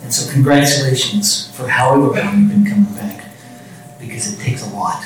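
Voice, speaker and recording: A middle-aged man with a low, soft-spoken voice shares his story in a halting, gently self-mocking way. He holds a microphone that sits a little away from his mouth, so he sounds distant. The room rings with a heavy echo, and faint music lingers underneath. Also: very animated. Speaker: speaker at a recovery meeting. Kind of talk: personal share to a meeting. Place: meeting room with an audience. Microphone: handheld microphone.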